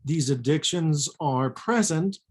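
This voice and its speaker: A man's voice: on the high side, takes it slowly, husky. A man chatting casually and candidly as a co-author in a personal conversation, with a self-deprecating manner. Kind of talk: casual unscripted chat.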